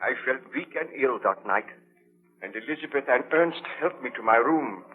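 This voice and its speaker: An actor playing an obsessive, imperious young baron scientist in a melodramatic 1930s radio drama style. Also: male voice, mid-range voice, lively intonation, normal speed, natural voice. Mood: grave, recounting. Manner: melodramatic, commanding. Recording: muffled vintage 1930s radio broadcast.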